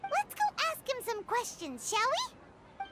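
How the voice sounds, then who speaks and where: A tiny fairy companion from a video game, with an extremely high, animated voice. She is chirpy, bossy and full of cute emphasis. Loud background sound runs behind her. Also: takes it slowly, very noisy, full character voice. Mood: curious, musing. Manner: cutesy, exclaiming, emphatic. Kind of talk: video game character dialogue.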